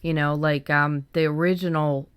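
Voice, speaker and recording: A woman's voice: low-pitched, steady tone, normal speed, clear, resonant tone, no echo. A woman co-hosting a podcast, talking casually and informally about writing. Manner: informal, conversational. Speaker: podcast co-host. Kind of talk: casual discussion of fiction writing.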